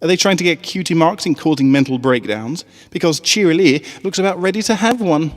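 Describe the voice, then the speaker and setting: A man's voice: fairly high pitch, animated, fairly quick, big-room sound, voice pushed up, lightly acted. A man voicing a snobbish young girl pony bully from a story, mocking and sneering.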